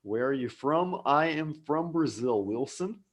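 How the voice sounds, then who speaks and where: A man's voice: on the high side, lively intonation, measured pace. An American man teaching a live English lesson online, speaking patiently and repeating key words for learners. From United States. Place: home office abroad.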